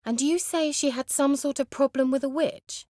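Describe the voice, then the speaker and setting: A woman's voice: high-pitched, normal speed, a little mellow, dry recording, studio quality, lightly acted. A woman playing a haughty, sly sorceress in a fantasy video game, articulate, wry and teasing. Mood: probing, teasing.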